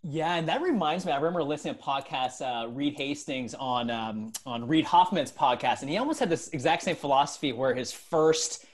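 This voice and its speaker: A man's voice: fairly high pitch, animated, a little fast, few pauses. A man in his thirties hosting a conversational business interview over a video call on earbuds. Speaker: interviewer and host. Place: small bright room at home, on a video call. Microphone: wired earbuds on a video call.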